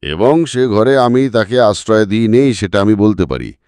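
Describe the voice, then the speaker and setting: A man's voice: lowish voice, lively intonation, moderate pace, a little mellow, dry recording, studio quality, close to an everyday voice. A man voicing an indignant, evasive suspect in an audio story, defensive, flustered and emphatic. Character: indignant, evasive male suspect protesting his innocence. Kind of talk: dramatic audiobook character reading.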